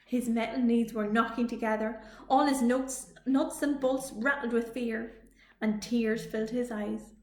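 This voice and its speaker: High-voiced, unhurried woman reading a children's story. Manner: slow, expressive read-aloud. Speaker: library storytime reader. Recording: echoey room.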